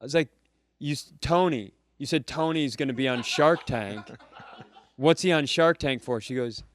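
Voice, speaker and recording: A man hosting a comedy podcast, telling a story in a casual, animated way and slipping into other people's voices. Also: medium pitch, normal speed, slight background noise. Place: live venue, close mic.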